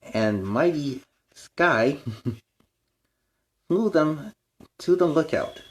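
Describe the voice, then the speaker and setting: A man's voice: a little high, lively intonation, very slow, a little hiss or hum. A man hosting a children's story time, reading aloud with playful, explanatory asides in a superhero persona. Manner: explains words and lessons to young listeners.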